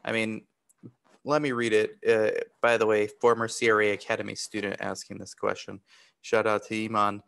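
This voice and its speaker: Man, low pitch, webinar moderator, casual, chatty, informal. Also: level tone, normal speed, gravelly, a bit bright, clear, resonant tone. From born in Romania, raised in the US from age three. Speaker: webinar host. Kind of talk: casual moderating, questions and thanks.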